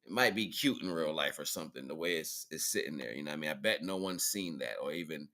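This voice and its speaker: A man's voice: extremely low pitch, expressive, fast talker, bright, forceful tone. A man hosting a livestream, talking casually and informally through pictures, rambling and often checking in with the listener. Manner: informal, rambling, repeatedly checking in with "right?" and "you know what I'm saying". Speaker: livestream host.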